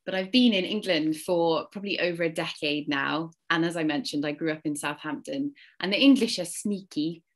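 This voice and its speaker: A woman from Wales with a slight Welsh accent, giving an earnest, expressive Easter talk to a church congregation. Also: low-pitched, moderate pace, hardly any pauses. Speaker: church speaker.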